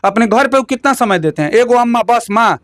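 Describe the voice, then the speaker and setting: A man's voice: very high-pitched, expressive, brisk, fairly bright tone, no room sound, clean studio sound. A man with a Bhojpuri accent giving heated opinions in an interview, emphatic and combative, repeating phrases and firing rhetorical questions.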